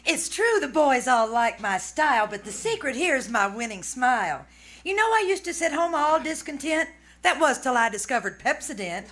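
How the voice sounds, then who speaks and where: A woman's voice: sits high, sing-song, moderate pace, fairly bright tone. A woman playing a cheery, boastful young woman in a vintage rhyming radio commercial. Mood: cheery selling. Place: small theater stage, live performance.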